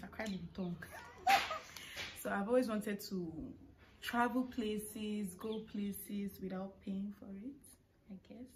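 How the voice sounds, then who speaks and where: A young woman with a Nigerian accent chatting casually to camera as a vlogger, with informal asides as she answers questions. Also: mid-range voice. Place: living room of a family house, indoors. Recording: clear household background noise. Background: household noises.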